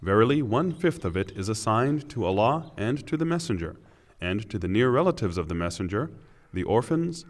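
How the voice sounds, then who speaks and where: A man giving a solemn, scripted reading of translated scripture with formal, deliberate phrasing. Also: medium pitch. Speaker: translation reader.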